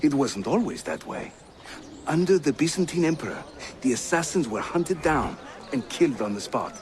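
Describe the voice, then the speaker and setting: An actor playing a jovial, witty Turkish assassin leader who guides newcomers, with a Turkish accent and a teasing, theatrical delivery in a video game. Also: male voice, a little high, very animated, normal speed, noisy background. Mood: wry, reassuring. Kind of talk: video game character acting. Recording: loud music behind the voice.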